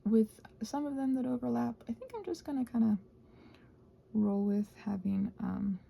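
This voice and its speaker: A woman rambling casually as a video host, thinking aloud and trailing off, her voice husky and breathy and often sinking toward a whisper. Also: fairly high pitch, normal speed, dark tone. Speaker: video host and ASMR creator. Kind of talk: casual rambling craft chat.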